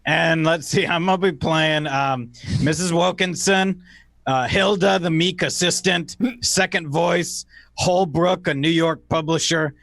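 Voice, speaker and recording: Man, higher-pitched, fry in the voice, dry close sound, casual cast banter. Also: steady tone, a little slow, a bit bright, no room sound. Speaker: voice actor in the cast. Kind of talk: casual chat.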